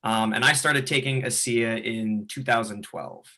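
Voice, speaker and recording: A man in his twenties, a swimmer, introducing himself in a relaxed way. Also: mid-range voice, moderate pace. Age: 20s. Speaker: swimmer giving a testimonial. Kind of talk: testimonial interview.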